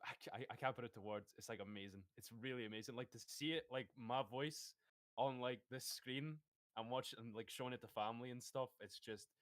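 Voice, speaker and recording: A man's voice: medium pitch, brisk, no echo. A man who acts on stage and in voice work, talking earnestly and gushing as he searches for words. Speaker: voice actor and stage actor. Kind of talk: casual livestream chat.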